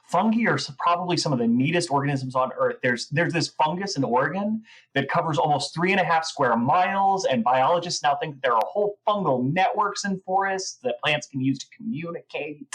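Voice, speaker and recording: A woman's voice: low voice, lively intonation, fairly quick, few pauses, poor audio. A woman playing a gushing, rambling gnome who is obsessed with mushrooms, in a comedic audio drama. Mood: giddy excitement. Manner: gushing, rambling, wide-eyed enthusiasm.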